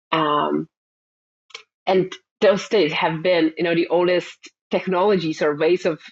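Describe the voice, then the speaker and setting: A middle-aged woman from the Republic, a therapist answering interview questions in a thoughtful, halting way with many fillers and repeated words. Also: lowish voice. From Czech Republic. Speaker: therapist guest. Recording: dull lo-fi sound.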